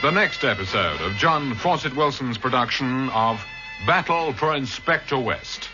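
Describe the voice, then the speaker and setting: A man's voice: mid-range voice, animated, measured pace, over loud music. A man giving a scripted, dramatic announcement for a vintage radio serial. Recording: muffled vintage radio recording.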